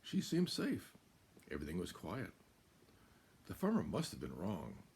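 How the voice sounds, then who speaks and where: Gravelly older American man reading a storybook. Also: deep voice, wildly swooping pitch, unhurried, a little mellow, a little hiss or hum. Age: older, about 70s. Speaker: guest storyteller. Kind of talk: reading a children's picture book aloud. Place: small room at home, indoors.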